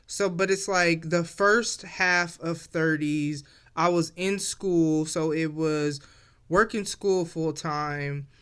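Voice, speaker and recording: A woman's voice: low-pitched, takes it slowly, a bit bright, clean tone. A woman of forty hosting a casual solo podcast, talking in a reflective, loose and chatty way straight to her listeners. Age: 40s. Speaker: podcast host.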